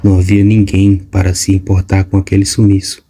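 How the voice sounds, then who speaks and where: A man giving scripted audiobook narration in an even, measured way. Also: deep voice.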